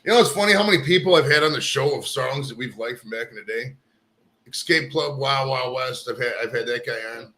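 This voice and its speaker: A man's voice: mid-range voice, fairly quick, sharp tone, a little hiss or hum. A man hosting a casual podcast, talking loosely and swearing often, with lots of bro and dude. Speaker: podcast host. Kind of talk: casual chat.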